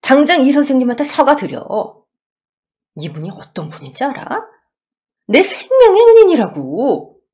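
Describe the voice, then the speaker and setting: Woman voicing a stern, indignant old gentleman. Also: sits high, wildly swooping pitch, moderate pace, pauses often, voice pushed up, heavily acted. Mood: outraged. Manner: scolding, blunt, commanding. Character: indignant wealthy elderly gentleman, stern and protective.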